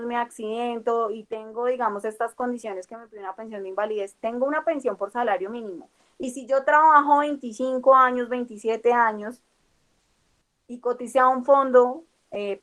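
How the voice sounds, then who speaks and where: A young Colombian woman with a Colombian accent teaching a class, explaining step by step and checking in with her students. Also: on the high side. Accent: Colombian Spanish. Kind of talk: classroom lecture.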